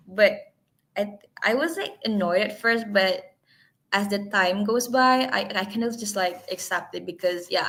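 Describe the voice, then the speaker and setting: A woman's voice: medium pitch, a bit bright. A young Indonesian woman, hesitant speech. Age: young adult. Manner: hesitant, many fillers and restarts. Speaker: guest speaker. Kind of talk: webinar talk.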